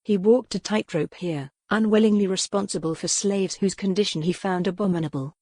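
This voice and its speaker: A woman's voice: medium pitch, a little fast, dark tone, dry recording. A woman reading scripted narration as the narrator of a romance audiobook.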